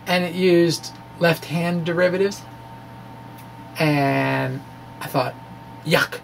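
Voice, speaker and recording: Guy with a kinda high gravelly voice talking thru his blog, jokey asides, bit of background noise. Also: rough recording. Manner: animated, wry, informal asides. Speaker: physics blogger presenting his own puzzles. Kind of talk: informal explainer, reading and discussing a blog.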